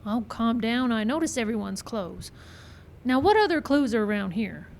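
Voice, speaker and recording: A woman playing a sharp, confident Wild West sleuth in an old-time radio mystery style, performed live. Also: on the high side, sing-song, normal speed, vocal fry. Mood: brisk, unruffled. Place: live show in a park. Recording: live outdoor stage, some background noise.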